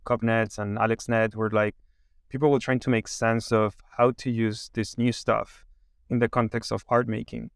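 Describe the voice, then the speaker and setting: A man from Chile, in his thirties, talks through a software demo and his career in a loose, halting way, full of "like" and "um". His voice is smooth and clear, recorded close and dry with almost no room sound. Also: mid-range voice, no room sound. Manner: halting, many fillers and restarts. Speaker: AI company founder giving a demo. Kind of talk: casual interview.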